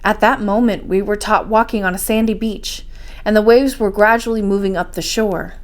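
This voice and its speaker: A woman's voice: medium pitch, steady tone, normal speed. Woman reading a bedtime story. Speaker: storyteller reading a novel chapter aloud. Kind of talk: expressive audiobook-style bedtime story reading.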